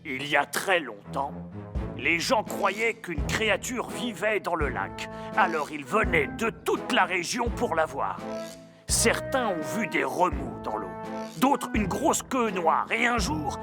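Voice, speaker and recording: A performer voicing an excitable local monster enthusiast in a cartoon, telling things in a dramatic, exclaiming way. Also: medium pitch, slow pace, over music. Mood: mysterious storytelling. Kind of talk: animated cartoon acting in French dub.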